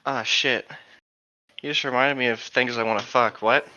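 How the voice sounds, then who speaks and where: A man's voice: medium pitch, fairly even intonation, moderate pace, sharp tone, no echo. A man chatting casually as a podcast co-host, slangy and crude in his joking, on a lo-fi microphone. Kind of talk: casual chat.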